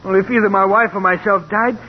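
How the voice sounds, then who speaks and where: A man's voice: high-pitched, steady tone, fast, natural voice. A man acting in 1940s radio style as an accused wife-killer, with an earnest, emphatic delivery. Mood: defensive. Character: desperate accused wife-killer pleading, later resigned condemned man. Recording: dull, faintly noisy old radio recording.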